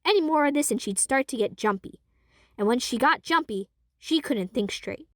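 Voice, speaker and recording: A young woman narrating a student audio drama in an expressive, lively storytelling manner. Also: sits high, moderate pace, dry recording, clean studio sound. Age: young adult. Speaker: narrator and voice actor.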